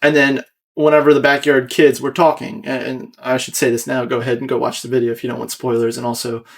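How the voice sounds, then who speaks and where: A man's voice: medium pitch, flat delivery, quick pace, gravelly. A young American man hosting a casual podcast, explaining his own creative work in a rambling, self-critical way. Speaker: podcast host and video creator.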